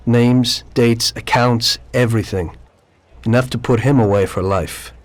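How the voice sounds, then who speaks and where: An actor voicing a weary middle-aged mobster and former cab driver in a game cutscene, speaking in a measured, matter-of-fact way. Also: male voice, medium pitch, natural voice. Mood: guarded, earnest. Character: weary mobster and ex-taxi driver seeking a deal. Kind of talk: video game cutscene dialogue and narration.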